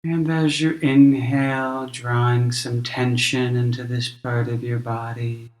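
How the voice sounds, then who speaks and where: A man leading a guided meditation as a teacher, speaking gently and in a measured, instructional way with long pauses. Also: mid-range voice, flat delivery, roomy sound.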